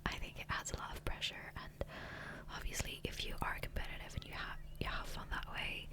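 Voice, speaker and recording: A woman whispering gently in a casual, unhurried ASMR ramble, close to the microphone. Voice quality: soft unvoiced whisper. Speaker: ASMR gaming creator. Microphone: close USB condenser microphone.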